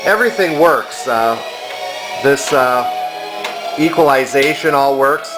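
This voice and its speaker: An older man giving a casual repair demonstration as a hobbyist, halting as he thinks aloud. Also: mid-range voice, expressive, low-quality recording. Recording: background music from vintage radio and turntable.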